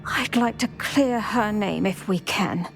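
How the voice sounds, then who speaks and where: An actor voicing an older retired Auror woman who speaks briskly and formally in scripted game dialogue. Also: medium pitch, gravelly, faint music behind. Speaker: retired Auror guiding a student.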